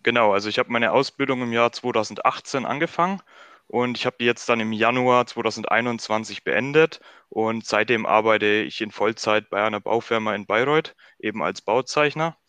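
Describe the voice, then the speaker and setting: A man's voice: lowish voice, brisk, a bit bright, smooth, no room sound. A man in his early twenties from Bavaria, answering conversationally as a podcast guest. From northern Bavaria, Germany.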